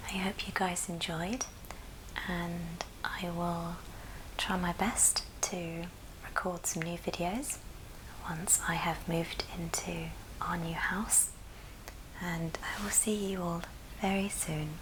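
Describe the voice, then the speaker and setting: Woman, whispered ASMR craft host, lowish pitch, very unhurried, clearly noisy recording with clicks. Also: little pitch movement. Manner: whispering throughout. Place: at a friend's house, indoors. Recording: noticeable background noise and clicks.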